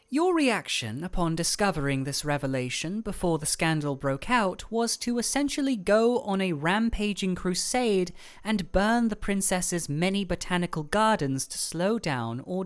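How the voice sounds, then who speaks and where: A woman playing a cool, composed young ice royal with dry wit in a fantasy audio roleplay, speaking in a measured, formal, teasing way. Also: fairly low pitch, animated, natural voice. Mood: cool, questioning.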